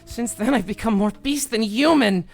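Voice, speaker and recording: A man gives voice to a sleep-starved young woman who grandly announces her descent into villainy. The delivery is theatrical and brooding, with soft music in the background. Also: sits high, moderate pace, voice pushed up, lightly acted. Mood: melodramatic. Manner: melodramatic, menacing read of game text. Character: sleep-deprived young woman turned would-be killer.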